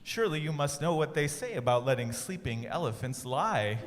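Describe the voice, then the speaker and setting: A man voicing a detached giraffe who speaks calmly and noncommittally. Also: fairly high pitch, a crowd in the background. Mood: aloof. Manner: calm, measured, noncommittal. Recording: echoey room with faint ambient noise.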